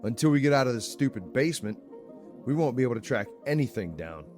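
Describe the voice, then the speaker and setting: An actor playing a skeptical, reluctant survivor in an audio drama, grumbling and sardonic. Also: male voice, mid-range voice, very animated, fast talker, music in the background, lightly acted. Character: skeptical, grumbling, reluctant man trapped in a basement. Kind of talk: scripted audio drama acting.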